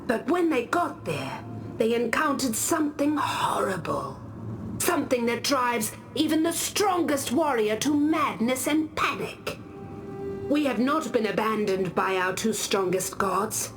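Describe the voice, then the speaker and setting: A solemn, devout warrior woman in a video game. Her voice sits a little high and moves expressively as she tells lore slowly and gravely. Music is clearly heard behind her. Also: lively intonation. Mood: grave, foreboding. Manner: grave, deliberate storytelling. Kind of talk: scripted game character monologue.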